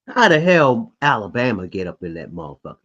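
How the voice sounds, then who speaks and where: Male co-host, lively pitch, profane griping, lo-fi audio. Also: fairly quick, breathy edge. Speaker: podcast co-host. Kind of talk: casual sports chat.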